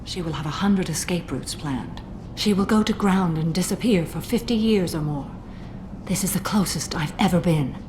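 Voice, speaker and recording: An actress playing a solemn, composed alien warrior woman in a video game, speaking formally and gravely. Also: lowish voice, normal speed, natural voice. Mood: composed, cautionary. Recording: clearly noisy game ambience.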